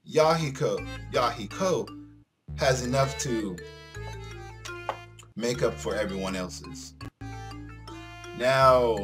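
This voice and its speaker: Animated man hosting a let's play. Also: mid-range voice. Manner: stumbles over words and jokes about it. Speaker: gaming video host. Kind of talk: casual let's play, reading a visual novel aloud with commentary. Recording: music behind the voice. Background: game music.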